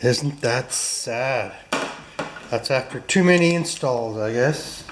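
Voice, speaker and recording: A man's voice: mid-range voice, slow pace, background noise. A man hosting a workshop video, chatting casually and joking with viewers while he works in a garage. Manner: chatty, self-mocking, talks to viewers while working.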